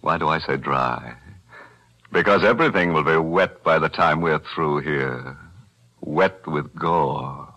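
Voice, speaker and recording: A man's voice: extremely low pitch, measured pace, sharp tone. A man hosting a 1940s radio horror show, cracking grim puns with sly chuckles, on an old broadcast recording with music. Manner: macabre punning with sly chuckles. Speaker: horror anthology host.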